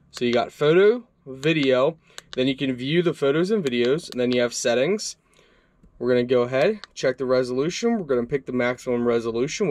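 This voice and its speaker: A young man giving a casual product review at his desk, walking through the features conversationally with a few fillers. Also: mid-range voice. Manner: relaxed, conversational walkthrough with fillers. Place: indoor desk workspace.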